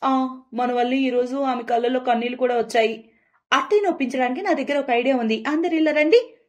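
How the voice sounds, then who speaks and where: A woman's voice: high voice, a little fast, close to an everyday voice. A woman voicing an earnest, concerned young daughter-in-law in a cartoon story. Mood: regretful, eager.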